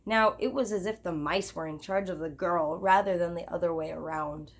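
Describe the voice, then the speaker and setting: A woman's voice: on the low side, moderate pace. A woman teacher reading a children's picture book aloud expressively, with chatty asides to her listeners.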